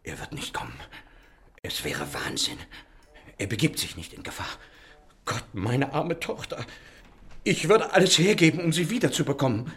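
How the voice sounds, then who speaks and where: A man's voice: mid-range voice, big pitch swings, moderate pace, pauses often, a bit bright, breathy edge, exaggerated voice. An actor playing an anxious, indignant middle-aged father in a German radio play, agitated and emphatic. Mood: anxious, desperate.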